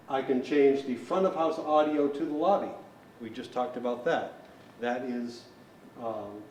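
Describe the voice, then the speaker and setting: A man's voice: medium pitch, darkish tone. A man giving a hands-on equipment tutorial as a technician, explaining things in a methodical, plain-spoken way. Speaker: technician instructor. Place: large hall. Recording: roomy echo, distant mic, faint noise.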